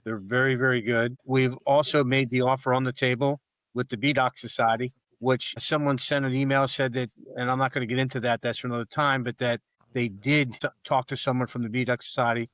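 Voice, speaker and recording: A man's voice: mid-range voice, flat delivery, brisk, fairly bright tone, no room sound. A man hosting a podcast, speaking in an earnest, direct way. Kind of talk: casual podcast talk.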